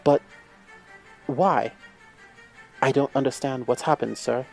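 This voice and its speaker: Man acting polite period official, mid-pitched gravelly voice, formal courteous manner, audio drama, faint music. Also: slow, a little mellow. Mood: puzzled.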